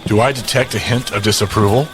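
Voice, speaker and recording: Male actor for brutal, cocky fighter who runs things. Deep-set voice, gruff authority, smug menace, audio drama. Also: fairly even intonation, quick pace, lightly acted, rough recording. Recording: music behind. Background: music.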